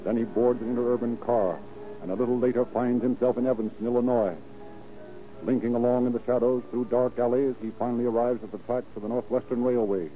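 A man's voice: mid-range voice, steady tone, fast talker, faint music behind. A man narrating and announcing in a formal, theatrical, emphatic 1930s radio style. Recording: tinny, hissy 1930s radio recording.

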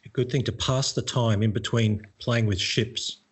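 A man's voice: medium pitch, normal speed, breathy edge. Easygoing Australian man, middle-aged. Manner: relaxed, self-deprecating, rambling with restarts. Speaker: guest. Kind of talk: casual conversation.

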